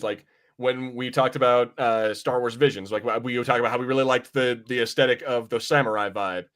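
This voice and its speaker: A man's voice: medium pitch, fairly bright tone. A man hosting a casual review podcast, rambling informally and swearing freely as he chats.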